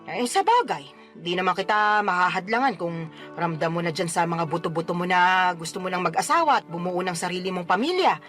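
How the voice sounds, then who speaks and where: A woman's voice: lowish voice, lively intonation, a little fast, fairly bright tone. An actress with a Filipino accent playing a teasing, blunt, protective middle-aged mother in a radio drama. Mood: fond advising. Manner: nagging, bantering, emphatic. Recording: music bed under the voice.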